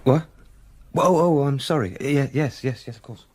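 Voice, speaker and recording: An English actor playing a polite, earnest young solicitor in a radio drama, speaking in a courteous, formal way. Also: male voice, mid-range voice, normal speed, a little mellow, husky, close to an everyday voice. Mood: subdued, polite. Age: young adult. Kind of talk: British radio drama acting.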